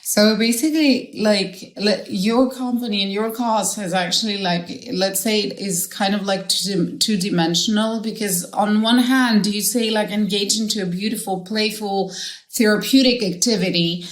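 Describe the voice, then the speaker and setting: A woman's voice: medium pitch, normal speed. Rambling woman podcast host, echoey room. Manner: rambling, many fillers like "like" and "you know". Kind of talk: casual interview.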